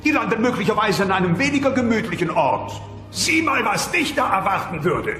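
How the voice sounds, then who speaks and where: A man's voice: high-pitched, big pitch swings, fairly quick. A man playing an affectionate dinosaur husband speaking theatrically from the afterlife in an audio play. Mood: warning. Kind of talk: German-dubbed sitcom audio play. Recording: echoey long reverb, music behind.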